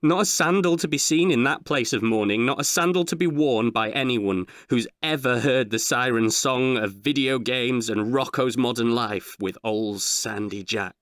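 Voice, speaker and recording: A man performing scripted narration of a comic horror tale as a dramatic storyteller, with hushed suspense and deliberate pauses. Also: mid-range voice, no echo, studio quality.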